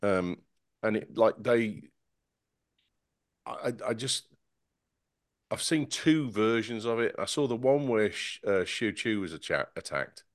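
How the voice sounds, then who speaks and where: A man on a casual tech podcast panel, talking in an animated, sarcastic way with many stumbling restarts. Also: fairly low pitch, measured pace, long pauses, no echo, studio quality.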